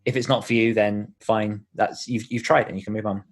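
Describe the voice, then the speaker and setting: A man's voice: fairly low pitch, flat delivery, brisk. A man speaking as an author guest in a conversational podcast interview, explaining things in an encouraging way.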